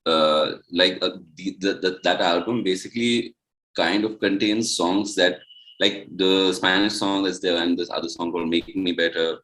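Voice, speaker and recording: A young man from India with a deep, low voice speaks in a relaxed, rambling way, leaning on "like" as he talks. He is a musician answering questions in an easygoing interview. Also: normal speed, low-quality recording. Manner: relaxed, loose, many "like" fillers. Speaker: musician, duo member. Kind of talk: casual interview chat.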